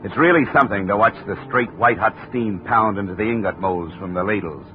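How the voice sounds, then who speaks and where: An actor playing a wisecracking hardboiled insurance investigator who also narrates the story, in the manner of a 1950s radio drama. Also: male voice, lowish voice, fairly quick, slight background noise, close to an everyday voice. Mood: dry. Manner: dry, wry, matter-of-fact. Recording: phone-like old radio broadcast.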